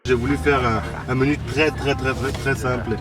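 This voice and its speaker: Man, casual interviewee, halting delivery with repeated words, slightly distant mic over clear music. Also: mid-range voice, steady tone. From Côte d'Ivoire. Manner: hesitant, repeats words while searching for them. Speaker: restaurant owner being interviewed.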